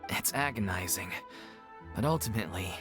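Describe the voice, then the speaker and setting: An earnest, weary theater troupe member. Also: male voice, low-pitched, expressive, normal speed. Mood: resigned, sorrowful. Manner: earnest, resigned, polite. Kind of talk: video game dialogue. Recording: music clearly behind the voice.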